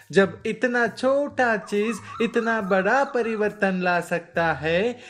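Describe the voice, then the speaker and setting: A man voicing a clever, earnest eight-year-old son who explains things in an animated story. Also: sits high. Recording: music behind the voice.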